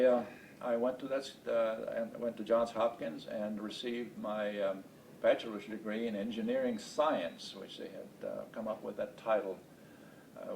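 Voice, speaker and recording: Gravelly, halting older man from Estonia. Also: steady tone, darkish tone. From Estonia, later Baltimore, USA. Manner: halting, deliberate, frequent long hesitations. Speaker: interview guest, space program veteran. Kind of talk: oral history interview, recollection. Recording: distant mic, noisy rumbling background.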